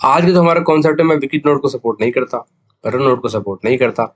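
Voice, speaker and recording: A man's voice: medium pitch, steady tone, fast talker. Indian man with a sore throat, explaining casually. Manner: conversational Hindi-English code-mixing, explanatory. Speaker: video host, cricket betting tipster.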